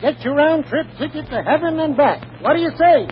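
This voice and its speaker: An actor playing a loud amusement park barker with sing-song sales patter in a 1940s radio drama. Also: male voice, very high-pitched, lively intonation, a little fast. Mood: brash, hawking. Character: loud male amusement park barker hawking rides and games. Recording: muffled noisy old radio, crowd behind.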